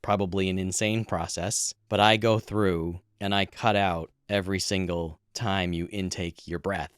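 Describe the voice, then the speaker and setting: A man's voice: low-pitched, fairly even intonation, moderate pace, smooth, no echo, studio quality. A man co-hosting a podcast in casual chat, explaining things in a chatty, jokey way. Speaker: podcast co-host, audio editor.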